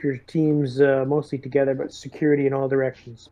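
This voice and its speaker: A man playing a tabletop game, giving military-style orders in a halting but commanding way. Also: medium pitch, little pitch movement, warm tone. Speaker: tabletop game player speaking in character. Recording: dull lo-fi microphone.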